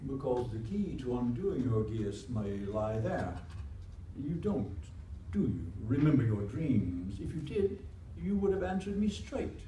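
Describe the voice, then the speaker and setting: A man acting a weary, kindly sorcerer master, principled and gentle, in staged radio theatre. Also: medium pitch, big pitch swings, a little slow. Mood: probing. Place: indoor convention performance before microphones. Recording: echoey live room, distant mic, faint noise.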